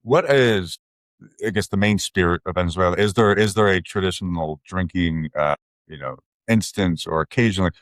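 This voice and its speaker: A man's voice: low voice, normal speed, no room sound. A man hosting a podcast, presenting and putting questions to a guest. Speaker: podcast host. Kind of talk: podcast intro and interview questions.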